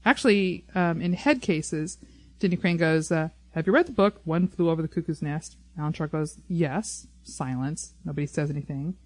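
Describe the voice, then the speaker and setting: A woman's voice: sits low, normal speed, no room sound. A woman co-hosting a casual fan podcast, chatty and rambling as she talks. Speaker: podcast co-host. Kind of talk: casual fan discussion of a TV show.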